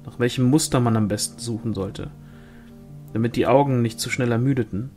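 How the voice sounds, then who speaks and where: A man's voice: medium pitch, a little fast, darkish tone. Male audiobook reader, medium register, scripted fantasy narration over a music bed.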